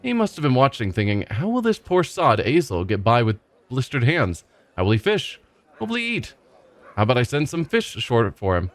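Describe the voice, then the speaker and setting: A man's voice: mid-range voice, huge pitch swings, a little fast, no echo. A man voicing a cheerful, simple-minded male village fisherman who rambles and repeats himself proudly. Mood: rambling. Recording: faint game music behind.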